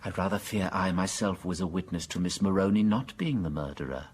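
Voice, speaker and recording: An actor playing a gentle, shrewd British priest in a radio mystery drama, speaking mildly with polite hesitations. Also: male voice, low-pitched, a little fast, husky. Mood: musing. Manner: mild, hesitant, stammering politely.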